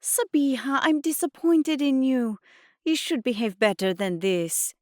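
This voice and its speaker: A woman's voice: mid-range voice, big pitch swings, a little slow, no room sound, studio quality, voice pushed up. A woman voicing a reproachful, weary and anxious mother in dramatised audiobook dialogue, scolding and urging. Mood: disappointed.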